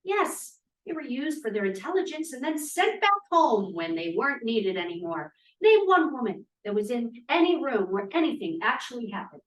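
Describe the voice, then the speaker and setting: A woman's voice: fairly high pitch, big pitch swings, normal speed, few pauses. Blunt, wry young 1940s college feminist woman actor, read-through recorded off-mic in a live room. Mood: indignant. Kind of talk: script read-through acting. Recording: distant, echoey room microphone.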